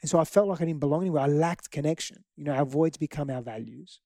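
A man's voice: fairly high pitch, fast talker, mellow, no room sound, clean studio sound. A middle-aged man from Greece, whose first language is Greek, speaking candidly and earnestly about his past as an interview guest. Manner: reflective, earnest, frequent restarts. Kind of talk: candid personal storytelling.

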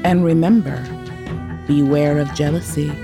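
A woman reading a scripted podcast introduction as announcer, in a deliberate, dramatic manner. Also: low-pitched, fairly even intonation. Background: prominent guitar music.

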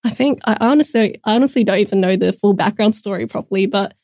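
A woman's voice: mid-range voice, quick pace, a little mellow, no room sound, clean studio sound. A young woman chatting upbeat as a podcast guest, with many casual fillers. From Australia. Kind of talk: casual interview chat.

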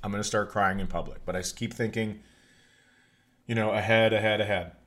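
A man's voice: lowish voice, fairly even intonation, fairly quick, a bit bright. A middle-aged man and podcast host telling casual comic stories in a rambling, profane way.

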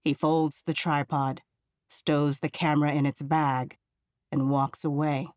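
A woman from Nigeria narrating a literary audiobook with precise diction in a measured, eloquent manner. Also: sits low, little pitch movement, a little mellow, dry recording, clean studio sound.

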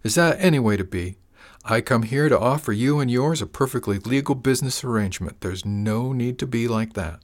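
A man's voice: medium pitch, a little fast, voice pushed up, close to an everyday voice. A man voicing a falsely friendly male gang boss who drawls with oily, mock-wounded charm. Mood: smarmy menacing.

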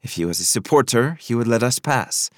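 A man voicing a composed, forceful Lebanese woman with formal, deliberate phrasing in an audiobook. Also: medium pitch, lively intonation, no room sound, clean studio sound, voice pushed up, lightly acted. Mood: proud, cool. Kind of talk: audiobook character voice.

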